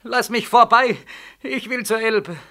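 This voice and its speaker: An actor playing a despairing, haunted young war veteran in a 1940s radio play, halting and anguished, swinging from hushed to frantic. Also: male voice, extremely high pitch, fairly even intonation, full character voice. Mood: desperate, agitated.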